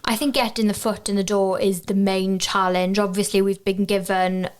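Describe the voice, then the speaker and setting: A young Welsh woman in her twenties, a native Welsh speaker, answering interview questions in an earnest, steady way and often wrapping up with "so yeah". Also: medium pitch, moderate pace, smooth. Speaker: journalism graduate, guest.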